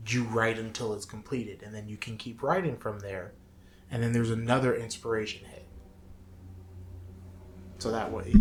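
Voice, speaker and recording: A man's voice: on the low side, fairly bright tone. A man co-hosting a podcast in casual conversation, talking in a self-deprecating way. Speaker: podcast co-host. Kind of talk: casual chat. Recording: clearly noisy room recording.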